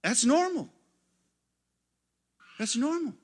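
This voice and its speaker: A man preaching in a church, telling stories and swinging from quiet asides to loud, excited exclamations. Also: very high-pitched, takes it slowly. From United States. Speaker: guest pastor. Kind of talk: sermon.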